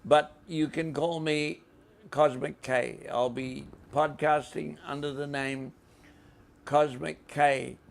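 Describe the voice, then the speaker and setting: A man with a New Zealand accent hosts an informal show. His voice is slightly high and quite creaky, and he speaks very slowly, in a halting, deliberate way. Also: flat delivery. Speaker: podcast host.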